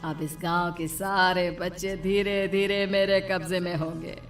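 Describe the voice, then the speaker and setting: A woman playing a boastful, menacing middle-aged banana-seller witch in an animated horror folk tale, with drawn-out threats and cackles. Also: lowish voice, soft background music, lightly acted. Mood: gloating, menacing.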